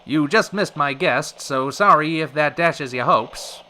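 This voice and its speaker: A man's voice: on the high side, a little slow, bright, forceful tone, a little hiss or hum. A man acting as a genial radio show host, with folksy, theatrical patter in an old-time radio style. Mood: smooth showmanship.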